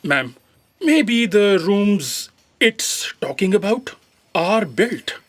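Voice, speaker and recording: A meek office aide voiced by a woman in a comic audio drama. The delivery is slow and hesitant, with big swings in pitch and a deferential, explaining tone. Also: sing-song, heavily acted. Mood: nervous explaining.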